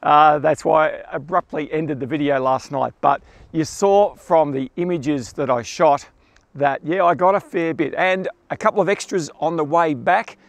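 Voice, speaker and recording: A man's voice: a little high, moderate pace, creaky, no room sound. A man, chatting enthusiastically to camera outdoors and explaining his photography as he goes. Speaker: astrophotographer vlogger. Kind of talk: casual talk to camera. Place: outdoors at night in the bush, then a bush camp in the morning. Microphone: clip-on mic.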